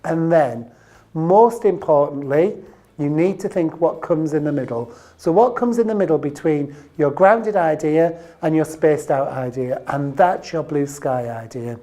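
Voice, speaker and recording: Female trainer for a short business explainer: lowish pitch, very mellow tone, emphatic on key phrases, speaking straight to the viewer. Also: unhurried. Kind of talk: instructional training video presentation to camera.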